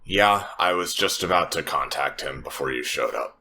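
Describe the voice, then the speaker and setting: A man's voice: rumbling low, lively intonation, moderate pace, bright, forceful tone, a little hiss or hum, pitched below the performer's own voice, full character voice. A man voicing a guarded, dryly sardonic woman from a gritty city in a tabletop roleplaying game, with understated delivery. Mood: guarded, resigned.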